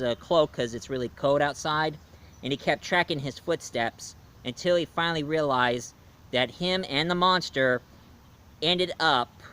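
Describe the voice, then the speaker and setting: Male film reviewer, fairly high voice, lively casual storyteller who acts out scenes. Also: clear, resonant tone. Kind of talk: casual plot retelling.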